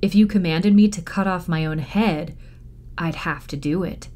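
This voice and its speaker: A woman with a slightly low voice reads a story to children. She narrates expressively, as a storytime reader would. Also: normal speed, a little mellow.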